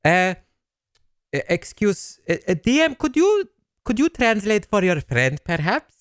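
An actor as a flustered, very animated school manager. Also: medium pitch, measured pace, smooth, no room sound, clean studio sound. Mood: baffled, hesitant. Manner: hesitant, stammering, politely baffled. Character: flustered, polite foreign manager of an English language school.

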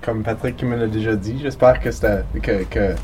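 A man's voice: on the low side, steady tone, normal speed, noisy background. Easygoing man, the band's drummer. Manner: easygoing, laughs often. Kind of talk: casual interview chat.

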